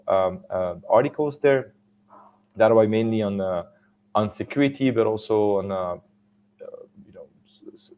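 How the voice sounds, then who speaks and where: Swiss french-accented man, middle-aged, gravelly low voice, halting conference talk full of fillers. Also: a little mellow, a little hiss or hum. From Switzerland. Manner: halting, many fillers and restarts. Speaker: conference speaker.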